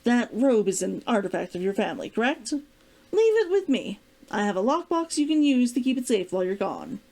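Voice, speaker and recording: A woman reading aloud as a protective, gently teasing man in a storybook, measured and probing. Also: on the high side, big pitch swings. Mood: insistent. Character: concerned, teasing adult man, protective old flame. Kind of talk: storybook character dialogue read aloud.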